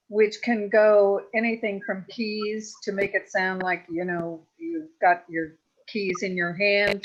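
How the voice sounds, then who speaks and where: Woman explaining casually, slightly muffled audio. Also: mid-range voice. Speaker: sound effects performer. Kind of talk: casual panel Q&A.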